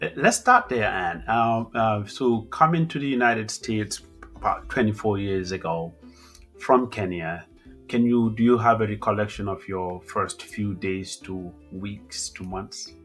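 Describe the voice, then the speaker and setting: A man's voice: fairly low pitch, unhurried, fairly bright tone, soft background music. A man hosting an interview podcast, greeting his audience playfully and asking his guest questions. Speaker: podcast host.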